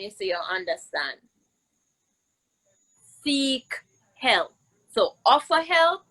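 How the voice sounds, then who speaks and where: Woman, Jamaican accent, higher-pitched, unhurried, encouraging teacher, emphatic, interactive children's lesson. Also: sharp tone, poor audio. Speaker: host of children's virtual storytime, teacher.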